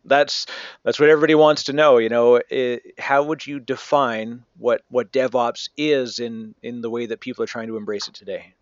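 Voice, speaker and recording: A man's voice: mid-range voice, fairly even intonation, moderate pace, no echo. Chatty male podcast host. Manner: chatty, leans on "you know". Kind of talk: casual podcast chat. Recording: dry, muffled lo-fi audio.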